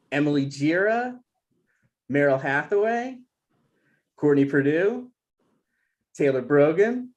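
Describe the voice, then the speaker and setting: Man casually introducing a table read. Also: fairly high pitch. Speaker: host and writer introducing cast.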